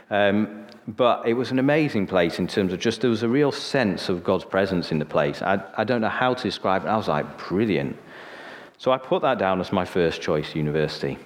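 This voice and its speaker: A lowish-voiced man with a northern English accent tells his story from the pulpit, relaxed and conversational. He keeps tossing "okay?" out to the room. His voice rings with long reverb, as in a large hall. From Sheffield, northern England. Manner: chatty, storytelling, frequent "okay?" asides. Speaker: preacher. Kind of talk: sermon with personal testimony.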